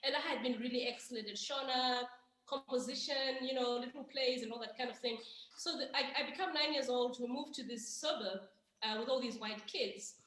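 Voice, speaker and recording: A woman from Zimbabwe, an author being interviewed, telling stories in an animated way with frequent restarts. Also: sits high. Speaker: author guest. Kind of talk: conversational interview answers. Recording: echoey room.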